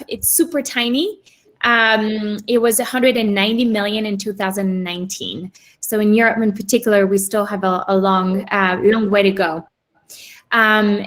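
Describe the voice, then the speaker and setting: A woman presenting a market overview to a panel, hesitant, with many fillers and restarts. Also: fairly high pitch.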